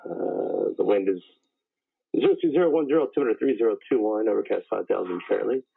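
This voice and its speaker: A man's voice: lowish voice, fast, creaky, breathy edge. A man working as a tower controller, giving routine procedural air traffic instructions over the radio. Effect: radio transmission. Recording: tinny, phone-like radio channel.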